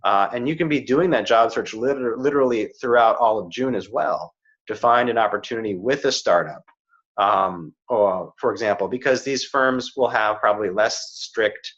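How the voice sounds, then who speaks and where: A man's voice: mid-range voice, normal speed, a bit bright. Middle-aged male career coach, muffled audio. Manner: earnest, often checks in with "right?" and "you know". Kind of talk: casual advice chat. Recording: slightly dull, muffled audio.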